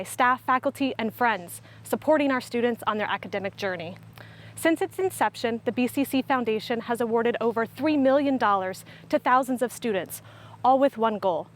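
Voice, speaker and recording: A woman, a college development director, giving a scripted presentation to camera outdoors in a garden on a lapel mic. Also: fairly high pitch.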